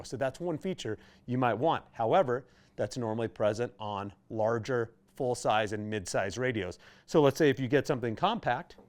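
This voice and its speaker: A man with an American accent giving an informal, conversational product demonstration as a shop's expert presenter. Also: medium pitch. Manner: conversational, explanatory, checking in with "right?".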